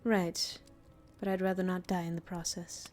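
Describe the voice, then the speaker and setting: A woman playing a centuries-old vampire master, tender and weary, confiding softly in an intimate audio roleplay. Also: fairly low pitch, little pitch movement, faint music behind, natural voice. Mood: measured, wary. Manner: soft, slow, hesitant, confiding.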